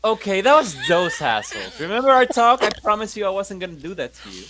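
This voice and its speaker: A man's voice: sits high, very animated, brisk, a crowd in the background. A man playing a tabletop roleplaying game, switching between in-character talk and bossy, teasing banter.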